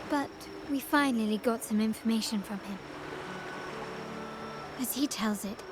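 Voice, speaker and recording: Actress as a poised, high-voiced woman of power. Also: noisy background, natural voice. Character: poised, hospitable yet menacing young woman of powerful family. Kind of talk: video game story voice acting. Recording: music playing behind the voice. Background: game music.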